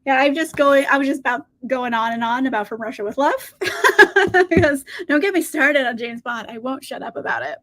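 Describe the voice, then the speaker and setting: A woman's voice: high-pitched, normal speed, a bit bright. A woman hosting a casual livestream, greeting viewers and answering their comments in an upbeat, chatty way with frequent laughs, her voice a little scratchy today. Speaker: book channel host.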